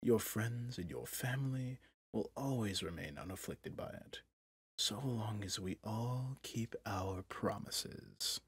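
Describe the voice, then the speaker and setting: A man acts out a manipulative, possessive villain prince in an intimate ASMR audio drama. His voice is lowish and heavily breathy, recorded close and dry. He speaks in soft, measured, courtly phrases with smooth menace. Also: no room sound, exaggerated voice. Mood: possessive, coaxing. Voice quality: breathy, husky.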